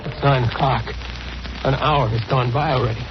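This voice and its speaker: A man's voice: mid-range voice, fairly even intonation, moderate pace, over music, close to an everyday voice. An actor playing a terrified, pleading man convinced he is being hunted, in a 1940s radio horror drama. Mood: alarmed. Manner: anxious, urgent, melodramatic. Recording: noisy, muffled vintage radio recording.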